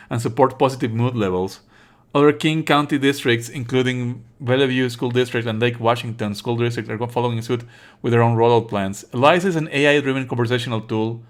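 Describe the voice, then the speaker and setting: Male game streamer, mid-pitched voice, sardonic and exasperated, swears freely, switches between reading on-screen text and ranting. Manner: exasperated, sarcastic, animated outbursts; frequent swearing. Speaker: streamer playing and commenting on a visual novel. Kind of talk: live let's play commentary, reading game text aloud.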